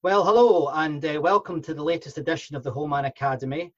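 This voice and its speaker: A polite, eager Scotsman with a fairly high voice, welcoming a guest to his podcast over slightly muffled audio. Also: fast talker. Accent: Scottish. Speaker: podcast interviewer. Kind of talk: conversational interview.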